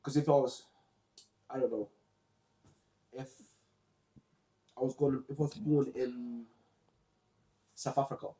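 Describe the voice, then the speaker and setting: A man from Zimbabwe hosting a casual book discussion podcast, talking in a relaxed way with frequent restarts. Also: mid-range voice, echoey room. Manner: relaxed, halting with repeated restarts. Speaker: podcast host.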